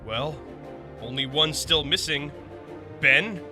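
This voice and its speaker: A man's voice: on the high side, takes it slowly, music in the background. A man playing an earnest, commanding hero in a comic book audio drama, declaiming as if making a speech. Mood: resolute. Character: earnest, commanding heroic leader of superhumans.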